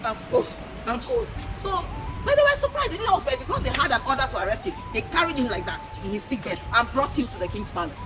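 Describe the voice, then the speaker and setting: High-voiced Nigerian woman telling children's stories. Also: very animated, fast, music in the background, rough recording. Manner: repeats and affirms in a teacherly way, asking questions. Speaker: children's storyteller and educator. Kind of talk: animated folktale storytelling and teaching to children.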